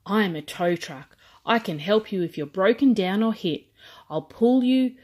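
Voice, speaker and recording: A woman voicing helpful, proud rescue vehicles that introduce themselves in measured rhyme for a children's book. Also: medium pitch, lively intonation, a little mellow, natural voice.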